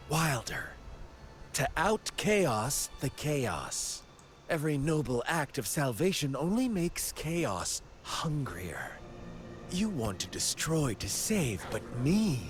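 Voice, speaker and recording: Game villain: mad nihilist, unhurried taunting drawl, highly animated pitch, theatrical mockery. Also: male voice. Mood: scornful, manic. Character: male chaos-loving villain, gleefully mad, mocking, nihilistic. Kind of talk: dramatic video game voice acting.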